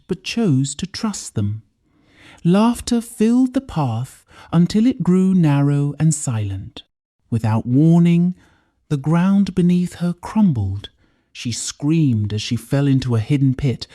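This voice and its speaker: A woman's voice: sits low, warm tone, no room sound, studio quality. A woman storyteller reading a scripted folktale as a dramatic narration.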